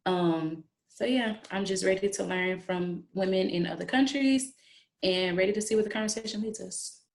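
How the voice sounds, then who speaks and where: Gravelly relaxed woman in her twenties. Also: medium pitch. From Illinois, United States. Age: 20s. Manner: relaxed, filler-heavy, unhurried. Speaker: clinician. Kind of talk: casual video-call introduction.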